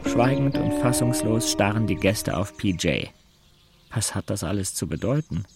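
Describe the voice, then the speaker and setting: A detached male storyteller looking back. His low voice has a gravelly creak, and he reads literary narration in a measured, wry way. Music plays clearly behind him. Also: expressive. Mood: sober recounting. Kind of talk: scripted literary narration in an audio drama.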